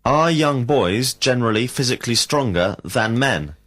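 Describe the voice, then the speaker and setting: A man reading out slow, carefully enunciated drill questions as an English teacher in a recorded lesson. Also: mid-range voice, lively intonation, fairly bright tone. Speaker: language teacher.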